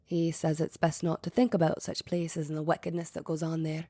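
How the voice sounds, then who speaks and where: An actress playing a wistful, timid young Scottish farm wife, polite and hesitant, in an audio play. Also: female voice, sits low, little pitch movement, brisk, mellow, dry recording, clean studio sound. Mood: shy, curious.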